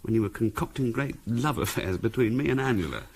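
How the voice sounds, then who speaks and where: A sceptical English husband in a 1970s radio play. His husky, mid-pitched voice rises and falls sharply. He is brusque, impatient and condescending. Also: expressive, fast. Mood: exasperated, patronising. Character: impatient, patronising middle-class husband, dismissive and sceptical. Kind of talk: 1970s radio drama acting.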